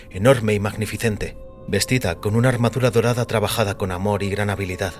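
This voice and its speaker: A man reading scripted dramatic fiction as the narrator of an audio story. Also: fairly low pitch. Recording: music under the voice. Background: background music.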